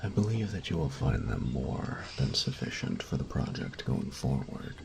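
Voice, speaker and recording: A man playing a grumpy, fastidious steampunk artificer-mage in an audio roleplay, speaking formally and precisely with pedantic, halting phrasing. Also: very deep voice, sing-song, gravelly, full character voice. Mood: stiff, matter-of-fact. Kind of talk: scripted roleplay audio drama, addressing the listener.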